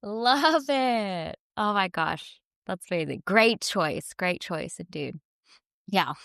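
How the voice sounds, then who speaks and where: A woman co-hosting a podcast in casual chat, animated and laughing often. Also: medium pitch, slow, gravelly, no echo, clean studio sound. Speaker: podcast co-host.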